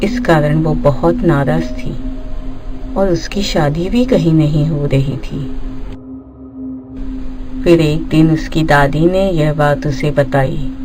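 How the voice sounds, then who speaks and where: A woman narrating a scripted horror story in short, measured phrases with pauses between them. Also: deep voice.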